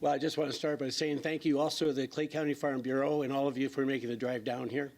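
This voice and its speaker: A man's voice: medium pitch, level tone, fast talker, darkish tone. A man, a state senator with a slightly scratchy voice, speaking plainly at a public forum. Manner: plainspoken, listing things with fillers.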